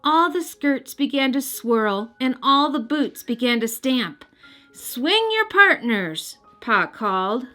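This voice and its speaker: A woman's voice: on the high side, a little slow, few pauses, fairly bright tone. A woman hosting and narrating a children's read-aloud, speaking expressively and directly to young listeners. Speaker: host and storybook reader. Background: music.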